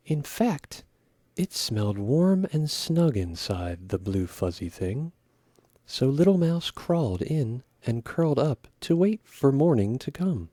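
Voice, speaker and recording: A man reading a children's story aloud with expressive narration. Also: mid-range voice, slow pace, no echo, studio quality.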